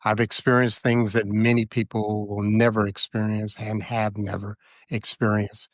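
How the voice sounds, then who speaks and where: A man in his sixties talking as a podcast guest, earnest and measured, with many fillers. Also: fairly low pitch, level tone, no echo, clean studio sound. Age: 60s. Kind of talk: reflective conversational interview.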